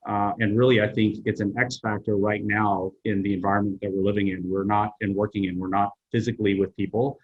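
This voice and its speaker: Middle-aged man, Vietnamese native, low voice, hesitant-measured delivery over dull call audio. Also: flat delivery, slightly rough. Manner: measured, many "uh" pauses. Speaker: HR executive guest. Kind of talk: interview answers.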